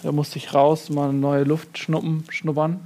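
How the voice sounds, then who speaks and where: A man's voice: fairly high pitch, measured pace. A man playing a rambling fortune cookie author. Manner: hesitant, rambling, deadpan. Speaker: mock interview guest. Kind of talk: improvised comedy sketch.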